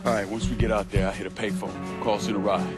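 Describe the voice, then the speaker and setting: A man's voice: fairly low pitch, little pitch movement, fast talker, over loud music, natural voice. An actor playing a level-headed young man who leads a robbery crew in a video game, dry, commanding and profane. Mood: decisive. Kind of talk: cinematic video game acting.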